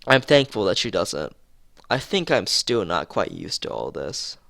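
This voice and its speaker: Creaky-voiced man reading a visual novel. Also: low-pitched, sing-song, a little slow, fairly bright tone, no echo, clean studio sound. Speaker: streamer playing a visual novel. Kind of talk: reading game text aloud with asides.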